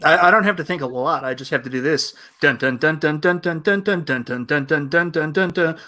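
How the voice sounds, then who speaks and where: A man from Canada co-hosting a casual gaming podcast, playful and quick to laugh. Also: on the high side, pushed and bright. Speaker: co-host. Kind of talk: casual chat.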